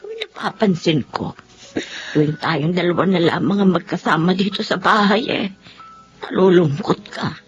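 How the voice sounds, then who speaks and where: A woman with a Filipino accent playing a concerned older mother, coaxing and expressive, in a radio drama. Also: lowish voice, normal speed. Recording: dull radio-drama sound with faint music.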